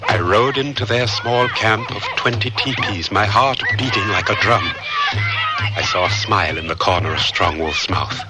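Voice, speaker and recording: A man's voice: fairly low pitch, moderate pace, loud music behind the voice, close to an everyday voice. An actor playing an earnest, lovestruck young African frontiersman, sincere and a little hesitant, in a radio drama. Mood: excited reminiscence. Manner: earnest, storytelling, hesitant at times. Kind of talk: radio drama narration and acting.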